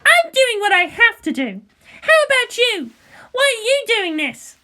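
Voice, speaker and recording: A woman voicing a fiery, haughty young female pilot in an audiobook story, sharp and challenging. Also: very high-pitched, sing-song, measured pace, voice pushed up, exaggerated voice. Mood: irritated, challenging.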